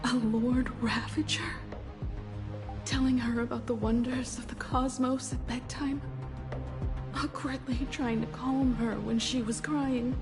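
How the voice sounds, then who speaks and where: A woman's voice: fairly high pitch, little pitch movement, unhurried, music in the background, close to an everyday voice. A woman playing a wounded young woman in a video game, with pointed, emotional, accusing delivery. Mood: hurt, accusing.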